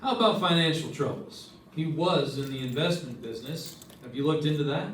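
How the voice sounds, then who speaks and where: A man's voice: a little high, fairly quick, fairly bright tone, slight background noise, natural voice. Man acting a wry radio detective, roomy stage sound. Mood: businesslike. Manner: wry, questioning, clipped. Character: dry, probing male insurance investigator narrating his case. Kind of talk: old-time radio detective drama acting. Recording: roomy, distant stage recording with music.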